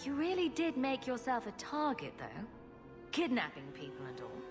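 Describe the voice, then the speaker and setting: A woman's voice: a little high, wildly swooping pitch, moderate pace, in a large hall, loud music behind the voice. An actress playing a self-assured, wry female adventurer in a video game. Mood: wry, challenging.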